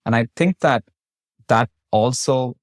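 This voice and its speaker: A man, a physician for whom English is a second language, hosting a podcast interview with measured, formal, carefully built questions. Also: medium pitch, no echo, studio quality. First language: not English. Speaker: host, physician.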